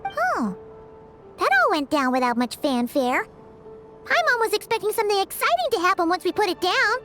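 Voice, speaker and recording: Game sidekick: excitable tiny female creature, very high and sing-song, game music under the lines. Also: normal speed, heavily acted. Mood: chatty. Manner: bubbly, exclamatory, speaks of herself in third person. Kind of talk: video game voice acting. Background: game background music.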